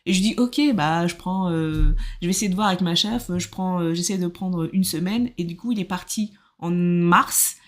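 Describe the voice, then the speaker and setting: A French woman in her thirties, a podcast guest, telling her story in lively casual talk and acting out what others said. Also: on the low side, normal speed, hardly any pauses. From France. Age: 30s. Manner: animated, acts out quoted dialogue, laughs often.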